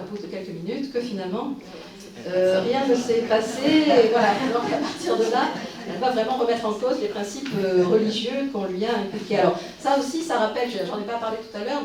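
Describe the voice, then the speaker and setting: A woman's voice: mid-range voice, mellow, distant mic, a little hiss or hum. A woman giving a public lecture, speaking hesitantly with many fillers and restarts. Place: conference hall. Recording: roomy hall recorded from afar.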